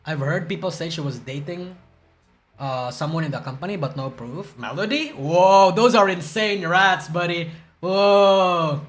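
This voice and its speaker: Young male streamer, high-pitched, rambling and profane, groggy and stuttery, faint music behind. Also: measured pace. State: groggy from a hangover. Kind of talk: casual livestream chat reacting to news.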